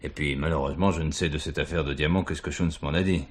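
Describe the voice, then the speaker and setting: Deep-voiced shrewd police commissioner. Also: male voice, steady tone, fast talker. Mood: confiding. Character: shrewd, authoritative male police commissioner in charge. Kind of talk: 1970s French radio crime drama acting. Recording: noisy 1970s radio drama recording.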